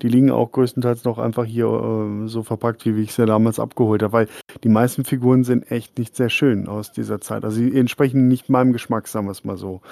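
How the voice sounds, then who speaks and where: A man's voice: mid-range voice, little pitch movement, fairly quick, vocal fry, darkish tone, dry recording, clean studio sound. A man hosting a casual hobby podcast, chatty and rambling, often laughing. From Germany. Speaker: podcast host. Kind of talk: casual chat.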